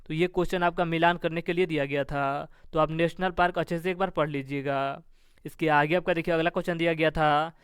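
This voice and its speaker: Higher-pitched, clear-voiced man teaching. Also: no room sound, clean studio sound. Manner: repetitive stock phrases, instructive. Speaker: exam coaching teacher. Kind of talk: informal exam review lecture.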